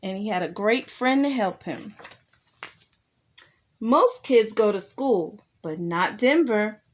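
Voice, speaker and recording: A woman reading a children's picture book aloud as a storyteller, expressive and careful, with asides to her young listeners. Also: medium pitch, slow pace. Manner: addresses young listeners with simple questions.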